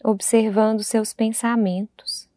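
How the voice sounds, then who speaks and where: A Brazilian woman leading a guided meditation in a gentle, unhurried way, leaving long pauses between phrases. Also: a little high, level tone, no echo, studio quality.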